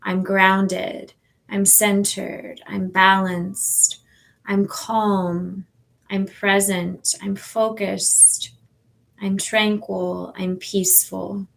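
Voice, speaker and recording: A woman leading a guided meditation as a yoga teacher, speaking in a soothing, measured way with long pauses. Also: mid-range voice, little pitch movement, gravelly, rough recording. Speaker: yoga nidra and sound healing teacher.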